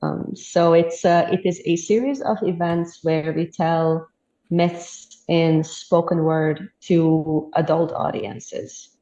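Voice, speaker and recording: A woman, a storyteller chatting in an interview, thoughtful and quick to laugh. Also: deep voice, warm tone. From Hungary. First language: Hungarian. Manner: thoughtful, easy laughs, restarts mid-sentence. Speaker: storyteller guest. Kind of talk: casual interview chat. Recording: dull roomy sound.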